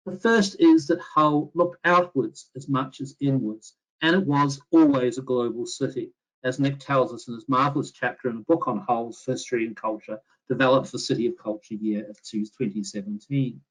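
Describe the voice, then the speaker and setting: A middle-aged man lectures on history in a voice of ordinary pitch, his accent a blend of New Zealand, Australian, English and American. He speaks in measured academic phrases, often pausing on "uh" and restarting words mid-thought. He sounds like he is on a home computer mic in a video call. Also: poor audio. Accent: mixed New Zealand, Australian, English and American. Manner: measured, with frequent "uh" fillers and self-corrections. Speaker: history professor giving a webinar talk.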